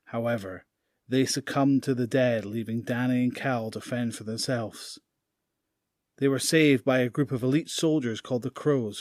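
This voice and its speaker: A man narrating a zombie audio drama in a steady, grave tone. His voice sits at an ordinary pitch, recorded dry and close with no room sound. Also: no echo. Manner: measured, grave storytelling. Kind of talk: scripted narration.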